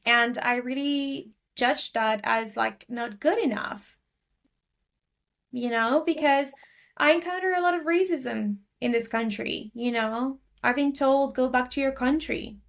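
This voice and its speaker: Argentinian-accented woman guest, loose chatty delivery, higher pitch. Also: a little slow, vocal fry, fairly bright tone. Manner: chatty, many fillers and restarts. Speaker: podcast guest. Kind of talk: casual interview talk.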